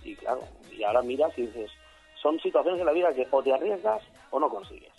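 A man, a DJ and singer chatting as a guest, slightly hoarse, rambling and acting out what others say. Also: fairly high pitch, big pitch swings, fast, darkish tone, faint music behind, rough recording. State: hoarse after a weekend of shows. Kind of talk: casual interview chat.

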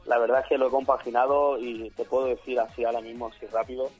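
Man, husky and a little hoarse, rambling guest chat, faint music behind. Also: mid-range voice, little pitch movement, fast, poor audio. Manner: rambling, acts out what others say in quotes. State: hoarse after a weekend of shows. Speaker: DJ and singer, interview guest. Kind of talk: casual interview chat.

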